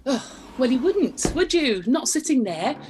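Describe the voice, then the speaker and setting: An actress playing a prim, suspicious middle-aged wife in a comic radio play, scolding and sarcastic. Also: female voice, sits high, very animated, a little slow, background noise.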